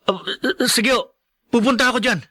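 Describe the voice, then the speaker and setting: A man's voice: very high-pitched, moderate pace, sharp tone, heavily acted. A man playing a frustrated, emotional young working man in a Filipino radio drama. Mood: puzzled, subdued. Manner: emotional, exclaiming, lamenting.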